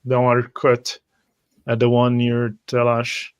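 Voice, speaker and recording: A man's voice: medium pitch, monotone, slow pace, clear, resonant tone. A man playing a tabletop roleplay game, describing his character's actions in the first person.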